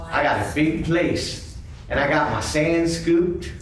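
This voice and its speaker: A man playing a pushy, smooth-talking male cat in a live sock puppet show. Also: a little high, takes it slowly, a crowd in the background. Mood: friendly, insinuating. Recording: echoey convention room, far mic, faint noise.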